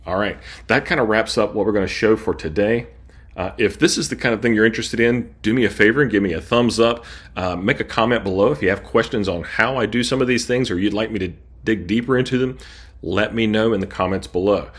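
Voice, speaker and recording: A man hosting a casual software tutorial, explaining things informally step by step. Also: fairly low pitch, fairly quick, faint music behind. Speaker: host.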